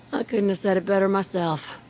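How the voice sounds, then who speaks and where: A woman's voice: mid-range voice, a little mellow, a little hiss or hum, lightly acted. Plucky, folksy young woman played by an actress. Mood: determined, wry. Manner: casual, folksy, earnest. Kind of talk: video game cutscene acting. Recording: background music under the voice.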